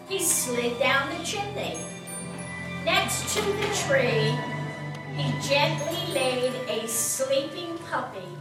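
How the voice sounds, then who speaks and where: A woman narrating a scripted children's story. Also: high-pitched. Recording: echoey, distant, music behind.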